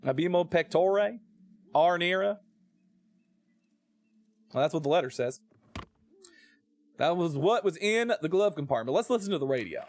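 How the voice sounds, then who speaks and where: A man's voice: a little high, very animated, a little fast, pauses often, a little mellow. An American man giving casual, joking gameplay commentary and reading text aloud, with playful, folksy drawled asides. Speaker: game streamer.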